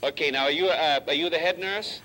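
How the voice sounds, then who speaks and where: A man's voice: a little high, brisk, sharp tone, rough recording. A man with a Boston accent hosting a live call-in TV show, teasing and joking with guests and callers. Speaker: TV talk show host. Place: TV studio with audience.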